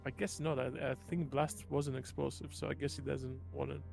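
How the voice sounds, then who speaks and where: A man playing a tabletop game, chatting casually and thinking aloud in a halting way. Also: medium pitch, level tone, creaky, a little mellow, faint music behind. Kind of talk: casual chat.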